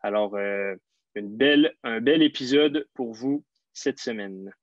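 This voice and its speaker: A man's voice: mid-range voice, slow pace, no echo. A man with a Québécois accent hosting a sports podcast, welcoming listeners and a guest with frequent fillers. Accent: Québécois French. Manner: friendly, lots of fillers. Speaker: podcast host. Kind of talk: casual podcast interview. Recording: slightly dull lo-fi sound.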